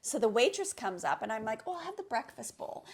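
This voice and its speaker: A woman co-hosting a podcast in casual, animated chat, exclaiming and using everyday slang. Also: fairly high pitch, brisk, slightly rough. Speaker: podcast co-host.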